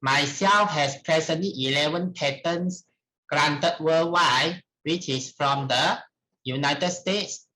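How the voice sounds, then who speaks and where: A middle-aged man with a Malaysian accent gives a formal academic presentation in a halting, deliberate manner. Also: a little high, sharp tone, low-quality recording. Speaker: researcher presenting at a conference.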